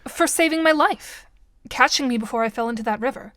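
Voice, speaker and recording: A woman's voice: sits high, brisk, pushed and bright, soft background music. A woman playing a straightforward, skeptical but patient girl gamer in an audio drama, with a composed, questioning manner.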